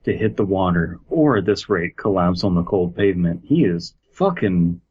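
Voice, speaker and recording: A man's voice: deep voice, expressive, normal speed, husky, muffled recording. A man co-hosting a podcast, reading a horror story aloud and mocking it with jokey, profane asides. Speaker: podcast co-host.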